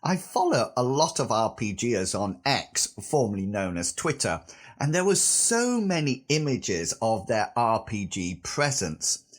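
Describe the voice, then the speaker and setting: Husky guy in his 50s hosting a podcast, pitch bounces around a lot. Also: very animated, unhurried. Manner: chatty, self-deprecating asides. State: recovering from a sore throat. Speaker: podcast host. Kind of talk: scripted solo podcast monologue.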